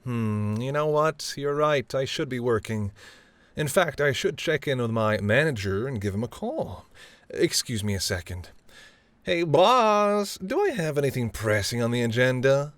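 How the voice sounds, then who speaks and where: A man's voice: medium pitch, very animated, a little slow, a bit bright, no echo. A man playing a charming, teasing mafia boss who is smitten, speaking intimately and playfully to the listener in a roleplay audio drama. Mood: mock-earnest. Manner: smooth-talking, playful, flirtatious, addresses listener as doll. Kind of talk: intimate second-person roleplay audio acting.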